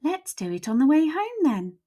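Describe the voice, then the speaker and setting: A woman's voice: high voice, very animated, normal speed, dark tone, pitched above the performer's own voice. A woman voicing a playful, childlike little male skeleton in a storybook, eager for mischief. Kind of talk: storybook read-aloud.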